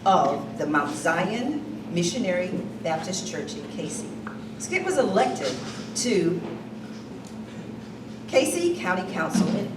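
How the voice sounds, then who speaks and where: A woman giving a loud, projecting speech about an honoree at a community reception, at times off script. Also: on the low side. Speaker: speaker presenting an honoree. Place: hall at a museum reception. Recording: echoey hall recorded from far off with noise.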